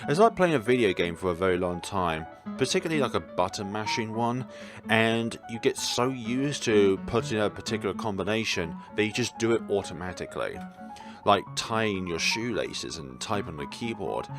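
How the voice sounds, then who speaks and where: A man's voice: fairly low pitch, expressive, moderate pace, music in the background. A man narrating a visual novel as a gaming host, in a casual let's-play style with asides of his own.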